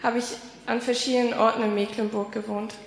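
A woman of twenty-two, a Bible school student, gives a brisk introduction. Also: on the high side, flat delivery, vocal fry. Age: early 20s. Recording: echoey hall from far off.

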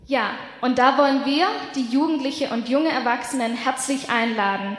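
Hesitant student announcer, high, heard far off in a reverberant hall. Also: female voice, normal speed, fairly bright tone. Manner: hesitant, self-correcting. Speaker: Bible school student. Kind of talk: introduction and event announcement.

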